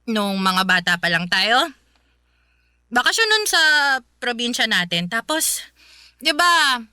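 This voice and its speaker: Lively, high, tipsy young woman. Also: slow pace, clean tone, dry recording, exaggerated voice. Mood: playful confessing. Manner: exclamatory, theatrical, teasing. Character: tipsy, playful, jealous young woman drinking with friend. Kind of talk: radio drama acting. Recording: dry studio, faint music behind.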